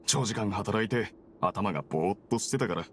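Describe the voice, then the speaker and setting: A man's voice: fairly low pitch, expressive, fairly quick, slight background noise, lightly acted. A man voicing a weary, apologetic prisoner in an anime game, with a casual, placating and slightly hesitant manner. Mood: reassuring. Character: weary adult male prisoner, placid, apologetic, evasive.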